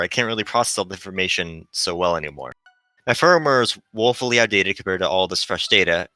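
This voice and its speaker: A man voicing a gentle, melancholy female shuttle AI, earnestly explaining as it fades in a visual novel. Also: lowish voice, no room sound.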